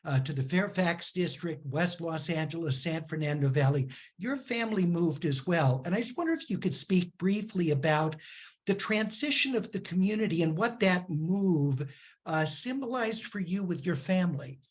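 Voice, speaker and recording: A man interviewing a guest in a live onstage book conversation, speaking in a measured way with fillers. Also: on the high side, animated, slightly rough.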